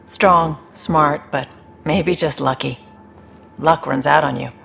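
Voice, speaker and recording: A man's voice: a little high, fairly even intonation, slow pace, gravelly, sharp tone, slight background noise, heavily acted. An actor playing a guarded, world-weary man in a video game, plain-spoken and wry. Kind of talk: naturalistic video game acting.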